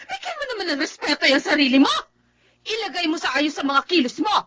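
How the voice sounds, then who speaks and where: A woman's voice: very high-pitched, sing-song, fast talker, sharp tone, exaggerated voice. An actress playing a strict, exasperated mother in an emotional Filipino drama, with a scolding, emphatic delivery. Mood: angry scolding. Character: Erica, strict exasperated adoptive mother of a wayward daughter.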